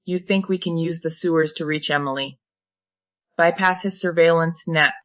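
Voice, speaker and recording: Woman voicing a bright, tense, determined tech fugitive. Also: low voice, steady tone, moderate pace, no echo. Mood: worried. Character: worried, determined female tech-savvy fugitive partner. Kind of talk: screenplay table read, synthetic voice.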